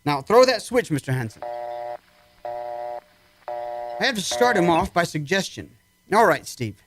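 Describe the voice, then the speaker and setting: Man playing a brisk, higher-voiced army psychiatrist. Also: sing-song, background noise. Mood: commanding, intent. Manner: clipped, questioning, commanding. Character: probing, brisk, authoritative male army psychiatrist. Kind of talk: old-time radio drama acting.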